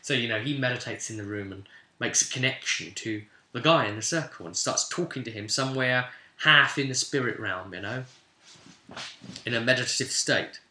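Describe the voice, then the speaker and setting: Sharp, bright-voiced man in an echoey room. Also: medium pitch, normal speed. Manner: rambling, emphatic, conversational storyteller. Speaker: game master recapping his tabletop campaign. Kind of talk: informal retelling of a role-playing session, voicing characters' dialogue. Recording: roomy, echoing sound.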